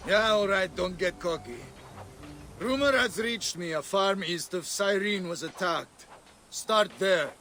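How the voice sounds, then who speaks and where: An actor playing a cocky, cruel Roman gladiator master in a video game, with a mocking, swaggering manner. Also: male voice, high-pitched, a little slow, bright, forceful tone.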